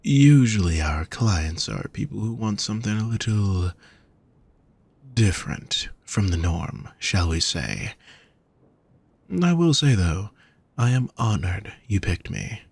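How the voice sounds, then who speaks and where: Casting: male performer as a gentle demon boyfriend for intimate audio roleplay; husky, unhurried, tenderly teasing. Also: fairly low pitch, very animated, heavily acted. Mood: gentle, curious.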